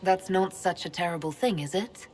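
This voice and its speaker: An elven leader in a fantasy game. Her voice sits fairly low, and she speaks calmly and directly, with composed resolve. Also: normal speed, breathy edge, poor audio. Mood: kind. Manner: calm, direct. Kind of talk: video game dialogue acting.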